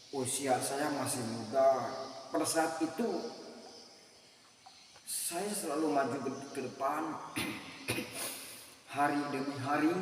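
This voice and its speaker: Young man from Central Kalimantan, earnest testimony, slow, in a reverberant hall with faint noise. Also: mid-range voice. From Central Kalimantan, Indonesia. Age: 20s. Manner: halting, earnest, in short phrases. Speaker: speaker giving a personal testimony. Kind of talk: religious testimony to a congregation. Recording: very echoey hall, mic far off, faint noise.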